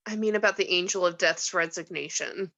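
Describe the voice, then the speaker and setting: A woman's voice: fairly low pitch, brisk, pushed and bright, dry recording. A woman reading the part of a skeptical, bitter, reluctant mortal in a script table read, blunt and questioning.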